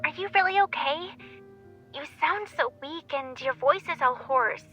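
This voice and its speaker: A woman's voice: sits high, unhurried, bright, forceful tone, faint music behind. A woman playing a kindly, concerned female coworker, heard through a telephone filter in an audio drama. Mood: concerned, friendly. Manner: concerned, friendly. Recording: muffled phone-line sound.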